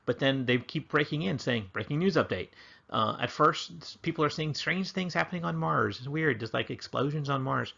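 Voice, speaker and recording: A man's voice: fairly high pitch, moderate pace, gravelly, sharp tone, muffled recording. A man teaching history in an informal, chatty, enthusiastic online lesson style with slangy phrasing. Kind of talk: casual explanatory online lesson.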